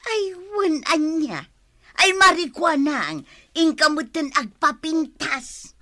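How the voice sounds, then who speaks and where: An actress playing an outspoken, gossipy middle-aged village neighbour in an audio drama, needling others with an Ilocano Filipino accent. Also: female voice, high voice, very animated, slow pace, bright, forceful tone, no room sound, studio quality, full character voice. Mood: teasing.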